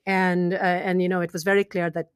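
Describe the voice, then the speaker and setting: A middle-aged woman talking casually as an interview guest, rambling with restarts and fillers, her voice trailing off softly at the ends of thoughts. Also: fairly low pitch, level tone, fairly quick, clear, resonant tone, dry recording, clean studio sound. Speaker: podcast guest, engineering leader.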